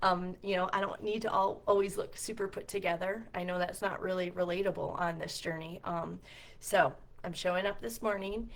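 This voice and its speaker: A woman's voice: on the low side, fairly even intonation, normal speed. A middle-aged woman with a scratchy voice talking casually and earnestly straight to her viewers, often putting questions to them. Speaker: homeschool community founder and co-leader. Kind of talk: casual direct-to-camera vlog talk.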